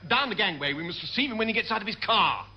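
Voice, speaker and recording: An exasperated British naval first lieutenant, well-spoken, brisk and drily sarcastic. The voice is high and very animated, on a dull old radio comedy recording. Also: male voice. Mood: harried. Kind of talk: classic British radio sitcom acting.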